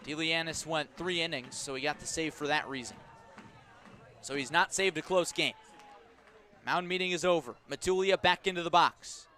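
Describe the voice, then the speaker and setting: Man, baseball radio commentator, bright forceful voice, slightly high pitch, measured delivery swelling into emphatic calls. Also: slight background noise. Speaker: baseball play-by-play announcer. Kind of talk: live sports radio commentary.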